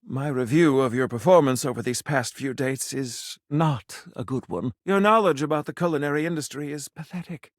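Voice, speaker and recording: A man's voice: on the high side, dry recording, studio quality, close to an everyday voice. An actor playing a snobbish, theatrical food critic in a video game, a man with pompous, precise, refined diction. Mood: offended, scornful. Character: flamboyant, snobbish, easily offended male food critic, grandly romantic. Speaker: restaurant critic.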